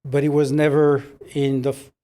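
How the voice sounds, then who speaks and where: An older man from Morocco whose first language is French, telling his life story slowly as a podcast guest, with hesitant pauses and fillers. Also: medium pitch, monotone, dark tone. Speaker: podcast guest, business owner. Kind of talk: relaxed interview storytelling.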